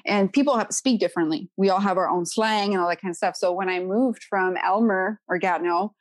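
A woman in her thirties speaks with a Quebec French accent, talking easily as a podcast guest. Her voice sits at a middle pitch, and she often pads her sentences with "you know" and "right?". The recording is dry and close, with no room sound. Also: fairly quick, no echo. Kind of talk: casual podcast conversation.

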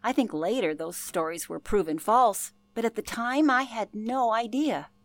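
A woman's voice: a little high, normal speed, no room sound, natural voice. An actress playing an older former telephone operator, telling her past in an earnest, genteel way for a radio play. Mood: storytelling. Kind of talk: radio play, interview-style reminiscence.

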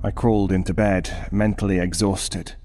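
An actor playing an anxious, troubled father who narrates a horror story in an intense, brooding way with dramatic pauses. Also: deep voice, flat delivery, mellow, slight background noise. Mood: weary, brooding. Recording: music behind the voice.

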